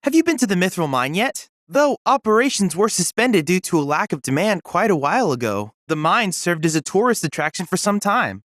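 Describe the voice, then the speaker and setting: This is a young android boy researcher in a video game, courteous, eager and bookish. The diction is formal and precise, with a lively, varied melody. The recording is dry and studio-clean, with no room sound. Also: on the high side, dry recording. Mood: earnest, informative. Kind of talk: scripted video game character dialogue.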